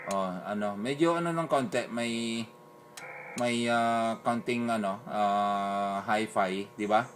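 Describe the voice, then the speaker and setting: A man with a Filipino accent talking casually as an amateur radio operator, in a hesitant, halting way. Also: on the low side, a little hiss or hum. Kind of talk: casual two-way radio chat. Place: beside a radio set, recording it on a phone.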